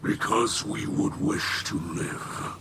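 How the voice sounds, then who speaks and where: An actor voicing a humble, dignified alien leader in a video game, gravelly, halting, solemn and earnest. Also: male voice, heavy background noise, close to an everyday voice. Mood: pleading dignity. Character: humble, dignified male alien leader pleading for his people. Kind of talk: dramatic video game dialogue.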